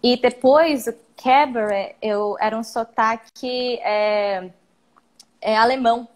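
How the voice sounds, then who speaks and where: A woman's voice: a little high, takes it slowly. A young Brazilian woman with a Brazilian Portuguese accent, chatting on a video call as an interview guest, meandering and restarting her sentences. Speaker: guest, actress and singer. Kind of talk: casual live-stream interview.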